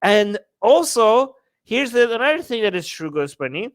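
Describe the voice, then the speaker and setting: A man from Iran co-hosting a political talk show, speaking casually and haltingly, repeating phrases to make his point. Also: sits high, very animated, dry recording, studio quality. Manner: halting, searching for words, repeats phrases for emphasis. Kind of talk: casual, opinionated discussion.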